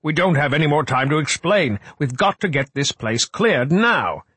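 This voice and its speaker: A man's voice: mid-range voice, very animated, moderate pace, fairly bright tone, husky, dry recording, voice pushed up. A man voicing a desperate, commanding older male scientist in an audiobook, emphatic and imploring. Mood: urgent. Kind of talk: audiobook character acting.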